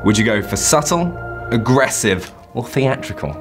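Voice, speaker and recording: Casting: male presenter in his twenties, keen and talkative, casual interview over clear music. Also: mid-range voice, very animated, moderate pace. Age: 20s. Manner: chatty, enthusiastic. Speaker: interviewer, host. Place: indoor studio interview set. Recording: loud backing music.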